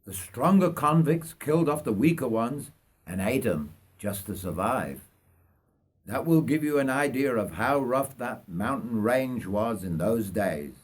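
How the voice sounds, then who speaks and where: Slow-paced older man telling his story. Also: mid-range voice. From North Yorkshire, England. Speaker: writer reading own memoir. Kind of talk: scripted autobiographical storytelling.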